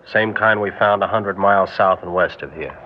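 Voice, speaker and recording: An actor playing a dutiful, inquisitive alien lieutenant in an old-time radio drama, plain-spoken and direct. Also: male voice, low voice, moderate pace, muffled recording, close to an everyday voice. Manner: clipped reporting, plain questioning. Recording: thin, noisy vintage radio recording.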